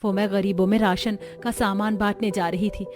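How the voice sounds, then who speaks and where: A woman voicing a gentle, earnest older lady in a cartoon story. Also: medium pitch, level tone, normal speed, faint music behind, lightly acted. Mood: grateful. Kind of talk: Hindi cartoon story dialogue.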